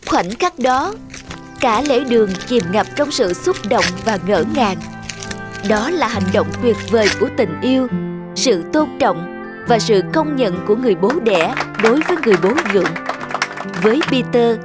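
A woman's voice: a little high, lively intonation, moderate pace. Woman narrating a sentimental story over music.

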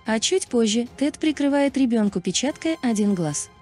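A woman's voice: on the high side, expressive, normal speed, mellow. A woman reading a scripted explainer narration as a narrator. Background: background music.

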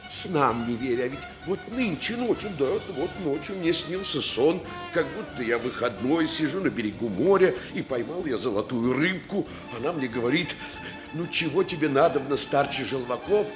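Fawning, excitable restaurant director. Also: male voice, on the high side. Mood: gleeful, amazed. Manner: obsequious, wheedling, wordy and excitable. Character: scheming, fawning male restaurant director, boastful and folksy. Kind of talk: Soviet radio stage-play acting.

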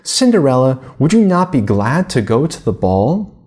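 A man's voice: mid-range voice, very animated, normal speed, darkish tone, breathy edge, voice pushed up. A man voicing vain, haughty young stepsisters in a storybook fairy tale, boastful in manner. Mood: mocking.

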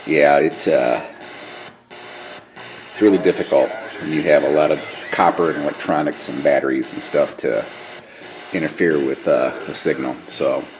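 A man's voice: rumbling low, normal speed, darkish tone, low-quality recording. An American man in his fifties giving a casual hands-on demonstration on a camera microphone in a garage workshop. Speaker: DIY video host. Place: small cluttered garage workshop. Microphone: camera microphone at arm's length. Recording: handheld camera, clear background noise.

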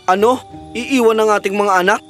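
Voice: medium pitch, moderate pace, soft background music, close to an everyday voice. A narrator playing a loving, weary woodcutter father in a fairy tale cartoon, tender and earnest. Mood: troubled, firm.

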